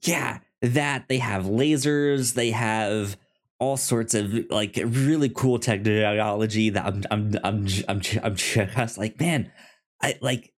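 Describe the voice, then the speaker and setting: Slow-talking man, halting and repetitive. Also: on the low side. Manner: halting, often repeats words and stammers. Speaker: podcast co-host. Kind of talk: casual film review chat.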